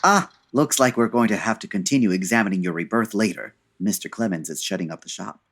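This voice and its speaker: Man acting as suave 1940s gentleman detective, very low voice, dry wit, formal, unflappable, radio mystery comedy. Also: quick pace, husky. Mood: dryly amused. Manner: dry, urbane, formal wording.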